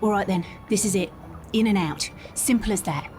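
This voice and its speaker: A woman's voice: medium pitch, moderate pace, darkish tone, soft background music, natural voice. A woman voicing a brash, plucky young English boat captain in a cartoon game, feisty and self-assured. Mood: nervy resolve. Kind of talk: scripted cartoon game acting.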